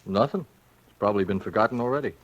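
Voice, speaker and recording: Actor as a fast-talking, dismissive working-class husband. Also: male voice, lowish voice, lo-fi sound, lightly acted. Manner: offhand, dismissive. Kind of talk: 1940s radio drama acting.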